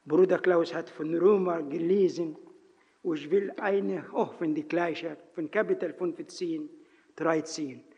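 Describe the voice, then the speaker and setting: A man with a non-native German accent preaching earnestly in a church, telling a personal story in halting phrasing and then praying. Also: fairly high pitch, fairly even intonation, darkish tone, slightly rough. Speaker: lay preacher. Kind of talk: sermon with personal story and prayer. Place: church hall. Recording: reverberant hall, dull lo-fi sound.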